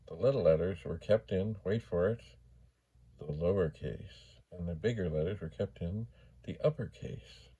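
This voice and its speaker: Deep, gravelly man thinking out loud. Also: low-quality recording. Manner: musing aloud to himself, unhurried asides. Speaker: host of a retro computer video channel. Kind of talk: casual rambling demonstration commentary.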